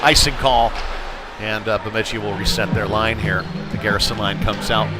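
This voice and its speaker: A man doing conversational, folksy live play-by-play commentary of a youth hockey game, getting excitable when play heats up. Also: lowish voice, moderate pace. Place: indoor ice rink. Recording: live rink stream with music behind. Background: music over rink PA.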